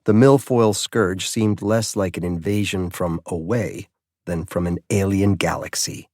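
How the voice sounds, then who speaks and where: A man's voice: sits low, steady tone, unhurried, darkish tone, dry recording, studio quality. A man reading scripted narration of a mystery story as an audiobook narrator.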